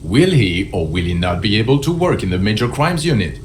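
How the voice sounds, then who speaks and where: An actor playing an abrasive, cynical police detective who talks in blunt, sneering, profane lines for a video game, with music behind him. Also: male voice, fairly low pitch, sing-song, a little fast, slight background noise. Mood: dismissive. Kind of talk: dramatic video game dialogue.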